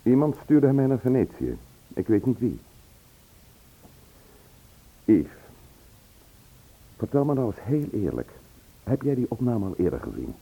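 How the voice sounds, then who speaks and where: A man acting in a Dutch radio play as a composed, probing gentleman investigator who speaks with measured care. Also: mid-range voice, big pitch swings, gravelly, breathy edge, heavily acted. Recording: muffled narrow-band old radio recording.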